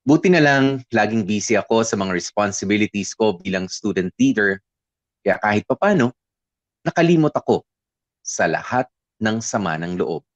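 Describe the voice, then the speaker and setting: A man acting as an earnest male student with a low, close, dry voice. He narrates his heartbreak in a confessional, hesitant way with a Filipino accent. The radio drama recording is slightly muffled. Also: animated, normal speed, no room sound, natural voice. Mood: rueful.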